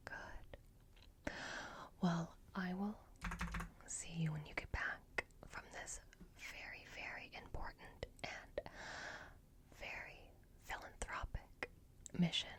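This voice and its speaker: A woman performs an ASMR roleplay in a low-pitched, breathy whisper. She plays a quietly scheming robot repair technician who speaks directly to the listener. Her delivery is very slow and deliberate, coaxing and secretive, with long pauses between short phrases.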